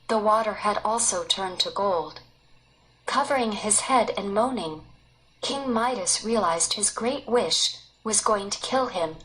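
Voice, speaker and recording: Slow, expressive woman narrating. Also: mid-range voice, poor audio. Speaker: story narrator. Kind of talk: scripted read-aloud storytelling.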